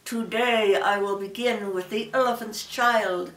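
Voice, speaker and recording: Old lady doing a sneaky storybook crocodile, coaxing and unhurried, bit echoey. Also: mid-range voice, fairly even intonation, recorded from a distance, natural voice. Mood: menacing. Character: sly, coaxing male crocodile luring his prey.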